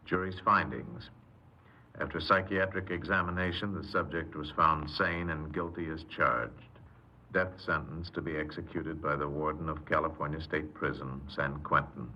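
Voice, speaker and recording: An actor playing an impassive official reading a case record in clipped, formal phrases, in a 1950s radio drama. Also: male voice, very deep voice, steady tone, moderate pace, lo-fi sound. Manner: matter-of-fact, clipped, formal.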